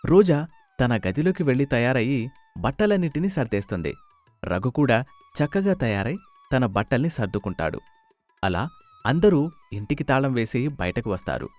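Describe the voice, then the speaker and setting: A man's voice: mid-range voice, sing-song, normal speed, pauses often, darkish tone, dry recording. A man narrating a scripted moral story as its storyteller. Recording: music under the voice.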